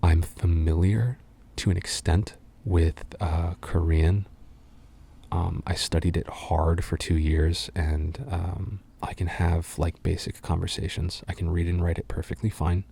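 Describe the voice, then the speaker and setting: A young adult man from the United States, talking in a soft, half-whispered way and rambling through fan questions with lots of ums and uhs. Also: low voice, little pitch movement, measured pace, creaky, slightly rough. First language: English. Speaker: voice actor answering fan questions.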